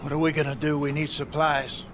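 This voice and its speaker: A weary outlaw played by a man in a cinematic western game. He sounds fretful and plain-spoken, a little high in pitch, with clear outdoor noise behind him. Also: monotone, fairly quick, a bit bright, lightly acted. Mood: anxious.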